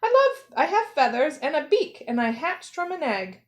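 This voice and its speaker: A woman voicing an earnest, thoughtful young male penguin in a gentle manner for a children's story. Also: high voice, big pitch swings, moderate pace, pitched above the performer's own voice.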